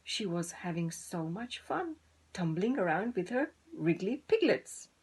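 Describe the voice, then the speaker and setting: A woman narrating a children's story in a deliberate, gently dramatic manner. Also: on the low side, sing-song, breathy edge. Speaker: storybook reader.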